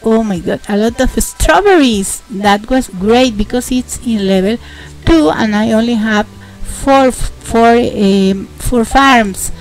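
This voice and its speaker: A young woman with a Mexican accent, a host narrating a casual game tutorial, halting and repeating words as she explains. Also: fairly high pitch, faint music behind, poor audio. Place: home, indoors.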